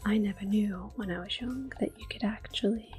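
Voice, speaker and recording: A woman giving soft, breathy, mostly whispered ASMR commentary on a video game, gentle and close to the microphone. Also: medium pitch, fairly quick. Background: soft game music.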